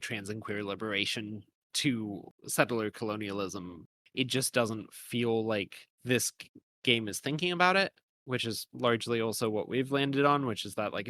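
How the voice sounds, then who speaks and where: A man's voice: mid-range voice, a bit bright, a little hiss or hum. A man co-hosting a podcast, talking through ideas casually and analytically in long, winding, hedged sentences. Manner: hedging, thinking aloud in long winding sentences. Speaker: podcast co-host.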